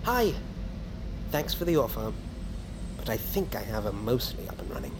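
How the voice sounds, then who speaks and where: An actor playing a stressed, defensive ship engineer who talks technically, in a naturalistic audio drama. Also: male voice, medium pitch, big pitch swings, moderate pace, background noise, lightly acted. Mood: weary, explaining. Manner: technical explaining, exasperated sighs.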